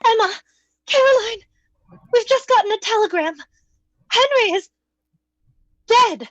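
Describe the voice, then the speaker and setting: A woman acting a distraught, shrill bearer of dreadful news in an old-time radio drama. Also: animated, unhurried, dry recording, muffled recording. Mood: alarmed.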